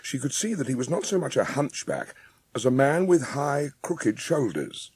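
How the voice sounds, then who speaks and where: A man's voice: mid-range voice, animated, moderate pace, darkish tone, breathy edge, no echo. A man narrating a dramatised children's storybook in a scripted audiobook style.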